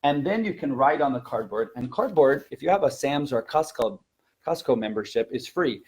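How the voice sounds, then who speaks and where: A man teaching in a conversational webinar style, explaining and demonstrating in an animated way as a presenter and teacher trainer. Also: mid-range voice, fairly quick, breathy edge.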